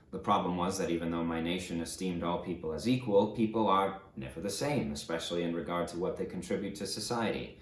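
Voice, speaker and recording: A low-voiced fallen tyrant, acted by a man in a dramatic audiobook. He confesses his atrocities with grave, measured emphasis and heavy remorse. The room rings around his deep voice. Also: echoey room. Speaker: audiobook narrator. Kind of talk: dramatic first-person audiobook reading.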